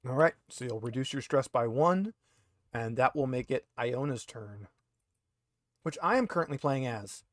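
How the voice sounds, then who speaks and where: A man's voice: mid-range voice, expressive, moderate pace, no echo. A man acting as game master for an online tabletop roleplaying game, explaining things informally.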